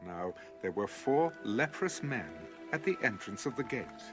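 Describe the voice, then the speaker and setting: A man's voice: mid-range voice, big pitch swings, measured pace, over music. A man giving scripted narration for a dramatized audio Bible.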